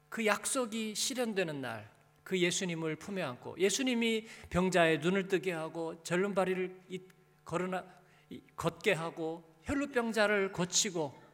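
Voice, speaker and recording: A man's voice: high-pitched, fairly bright tone. A male pastor preaching a sermon in a conversational way, putting rhetorical questions and short prompts to his listeners. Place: large reverberant church hall. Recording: echoey hall with long reverb.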